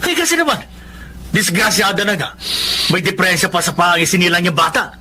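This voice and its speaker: A man's voice: high voice, big pitch swings, a little fast, pushed and bright, a little hiss or hum, full character voice. A man playing a hot-headed, scornful older brother in a Filipino radio drama, scolding and exclaiming. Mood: exasperated, scornful.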